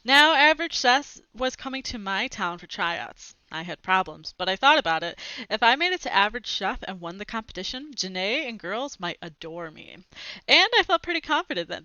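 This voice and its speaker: Young female storyteller for children's read-aloud, bright and animated, dry headset sound. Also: mid-range voice, no echo, dull audio. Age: young adult. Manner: animated, clearly phrased storytelling. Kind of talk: expressive children's book read-aloud with brief asides. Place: small home room with bookshelves, indoors. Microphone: headset microphone.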